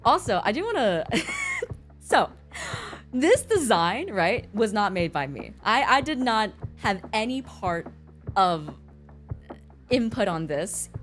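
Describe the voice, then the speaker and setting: Casual livestreamer: young woman, American accent, Mandarin first language, lively swooping pitch, chatty and giggly, faint music underneath. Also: slow, long pauses. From born in China, raised in the US from about age six. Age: young adult. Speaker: streamer.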